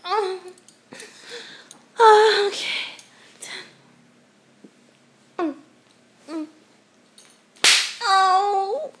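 A woman counting aloud in a roleplay, tearful and whimpering, with breathy laughs and gasps between counts. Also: very high-pitched, slight background noise.

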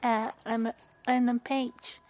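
Indonesian-accented woman vlogger, high-pitched and unhurried, soft whispery asides. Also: little pitch movement, a little hiss or hum. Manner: soft-spoken, slips into whispered asides, giggly. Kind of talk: casual accent-tag vlog, reading questions aloud.

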